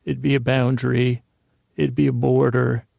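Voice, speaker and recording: A man with a creaky voice hosting a bedtime podcast in a meandering, halting monologue that stammers and interrupts itself with gentle humor. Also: medium pitch, flat delivery, no echo, clean studio sound. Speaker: podcast host.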